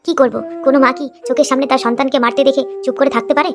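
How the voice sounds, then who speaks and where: A woman's voice: sits high, steady tone, racing, music in the background, natural voice. A woman voicing a protective, vengeful ghost mother who is tender with her daughter, in a dramatic cartoon style. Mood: resolute, vengeful.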